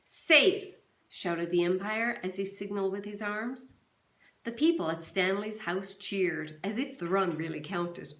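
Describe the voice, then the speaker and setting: A woman teacher reading a novel aloud to her class in a calm, steady storytelling manner, recorded in a roomy, echoey space. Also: mid-range voice, unhurried. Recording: roomy echo.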